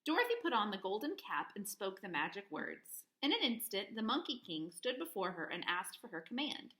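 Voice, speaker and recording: Animated woman reading a storybook aloud. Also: medium pitch, normal speed. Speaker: teacher reading aloud to children. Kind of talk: expressive storybook read-aloud narration.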